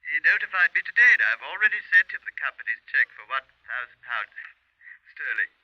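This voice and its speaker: Swooping, high-pitched, fussy insurance broker. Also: male voice, very animated, dry recording. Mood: obliging. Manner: halting, repetitive, officious politeness. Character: fussy, hesitant male insurance broker on telephone. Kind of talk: 1950s radio drama monologue. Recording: dull, dry 1950s radio recording.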